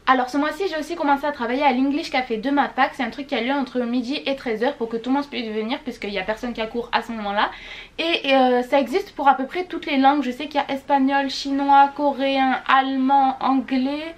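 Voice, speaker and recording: A woman's voice: high voice, steady tone, brisk. A young woman vlogging a casual monthly recap, gushing and repeating words for emphasis. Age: young adult. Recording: faint background noise.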